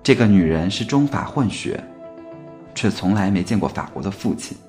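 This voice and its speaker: A man narrates a radio play as scripted storytelling. His voice is fairly low, with very animated intonation, over faint music. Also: moderate pace.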